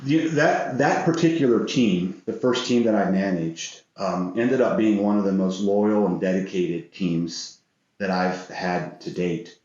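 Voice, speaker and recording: A middle-aged American man answering interview questions as a guest, earnest and measured in the way he talks. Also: low-pitched, a little mellow. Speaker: interview guest, business executive. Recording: very echoey room, slightly dull sound.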